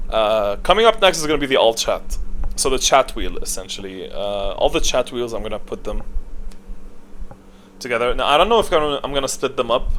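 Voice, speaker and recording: A man's voice: medium pitch, fairly bright tone, a little hiss or hum. A man giving casual, rambling tier-list commentary as a gaming video creator, opinionated and informal with some swearing.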